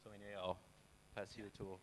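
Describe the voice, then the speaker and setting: Man, forties, low voice, flat delivery, panel talk, halting, handheld mic. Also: a little hiss or hum, rough recording. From Brazil. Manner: hesitant, many fillers and restarts. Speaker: panelist, software company CEO. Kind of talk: conference panel self-introduction. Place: conference stage.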